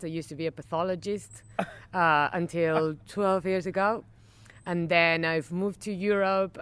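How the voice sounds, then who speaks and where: A middle-aged woman from Argentina whose first language is Spanish, answering interview questions in English with an Argentine accent, pausing often with fillers and restarts. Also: low voice, fairly bright tone, clear, resonant tone. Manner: halting, with many fillers and restarts. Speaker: wine estate general manager. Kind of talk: conversational interview. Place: outdoor garden.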